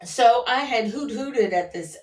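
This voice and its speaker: A woman's voice: fairly high pitch, a little slow, distant mic. A woman vlogger telling rambling, casual stories in a chatty, folksy and playful way. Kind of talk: casual rambling storytelling to camera. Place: at an airport workplace.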